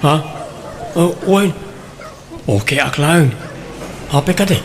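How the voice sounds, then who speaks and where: A man's voice: fairly high pitch, animated, takes it slowly, slight background noise. An actor playing an awkward, anxious young man in an Ilocano comedy radio drama, with a Filipino Ilocano accent. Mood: nervous.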